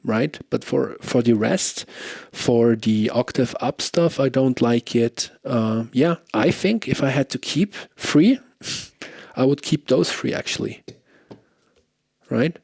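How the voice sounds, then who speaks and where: A man's voice: medium pitch, takes it slowly, creaky, darkish tone, slightly rough, no echo. Man casually demoing gear, dry close sound. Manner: informal, thinking aloud. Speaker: guitarist reviewing effects pedals. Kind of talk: casual product demo.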